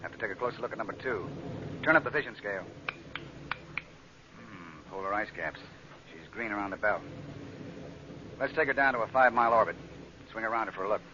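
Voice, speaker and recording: A noisy, muffled vintage radio recording of a man playing a gruff spaceship captain. He talks fast and impatiently, snapping out brusque orders in radio drama style. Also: fairly low pitch, lightly acted. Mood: commanding. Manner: brusque, clipped commands. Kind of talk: old-time radio drama acting.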